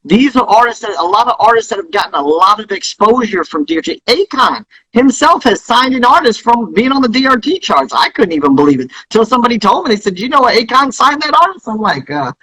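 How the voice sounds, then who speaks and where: Middle-aged man, high raspy voice, emphatic interview guest giving motivational business advice. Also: sing-song, brisk, hardly any pauses, pushed and bright, rough recording. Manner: emphatic, exhorting, repeats himself for stress. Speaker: guest, music industry founder.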